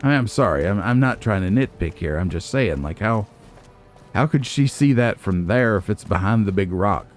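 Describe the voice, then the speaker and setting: A man hosting a let's play with casual, musing commentary, as if thinking out loud to himself. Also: fairly low pitch, lively intonation, normal speed, faint music behind. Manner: musing, nitpicking, talks to himself.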